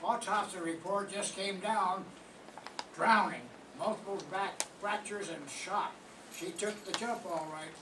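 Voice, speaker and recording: A man's voice: high-pitched, steady tone, a little slow. An older man playing a chummy morgue attendant. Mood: offhand. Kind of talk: 1940s radio acting. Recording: echoey room from far off, noise behind.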